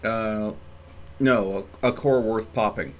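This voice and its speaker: A man's voice: on the low side, fairly even intonation, slow pace, a little hiss or hum. A man livestreaming a video game in casual chat, rambling and thinking aloud as he plays. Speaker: live streamer playing a video game.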